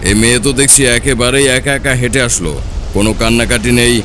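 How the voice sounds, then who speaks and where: A man's voice: medium pitch, fairly even intonation, brisk, natural voice. Man acting an imperious king, noisy background. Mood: surprised. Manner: questioning, imperious. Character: commanding, curious island king. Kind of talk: cartoon folk tale acting.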